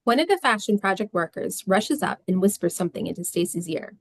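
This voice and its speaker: A woman's voice: medium pitch, lively intonation, fairly quick, a crowd in the background. A woman reading scripted stage directions as a narrator.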